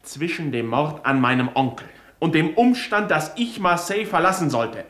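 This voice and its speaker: A man playing a young nephew questioned by police in a 1970s radio drama, defensive and quick to flare up. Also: fairly high pitch, expressive, a bit bright, roomy sound. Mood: agitated. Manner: defensive, flares up when pressed.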